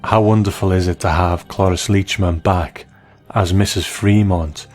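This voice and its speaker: Low-pitched man narrating a review. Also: fairly even intonation, a little slow, a little mellow, soft background music. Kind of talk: scripted review commentary.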